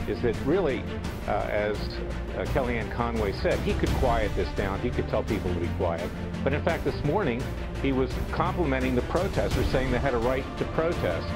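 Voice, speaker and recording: An American man giving political commentary as a guest on a news show. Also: medium pitch. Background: loud music.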